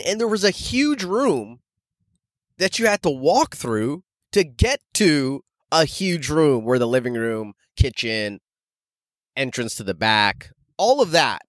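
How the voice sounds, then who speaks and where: Animated man telling stories, swinging high pitch. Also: no echo, studio quality. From Canada, later Arizona. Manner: slangy, animated, profane, addressing listeners as guys. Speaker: podcast host and stand-up comedian. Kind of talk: casual solo storytelling podcast.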